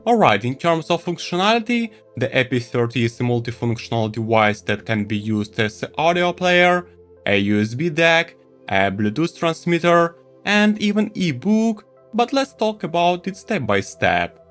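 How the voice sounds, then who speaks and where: Animated man reviewing gadgets over faint music. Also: fairly high pitch, moderate pace, clean tone. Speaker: tech reviewer. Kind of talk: scripted product review narration.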